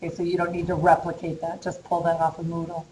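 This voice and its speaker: A woman's voice: fairly low pitch, monotone, fast, dark tone. A woman college instructor giving a casual, chatty lecture in a classroom while losing her voice. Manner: casual, chatty, uses fillers. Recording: echoey lecture room with faint hum.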